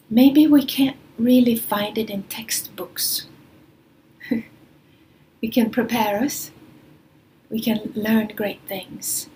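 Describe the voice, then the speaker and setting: Slow, soft-spoken Swedish woman. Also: on the high side, a little hiss or hum, rough recording. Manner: slow, soft, often near a whisper. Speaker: spiritual teacher. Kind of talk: spiritual talk. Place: traveling, away from her studio.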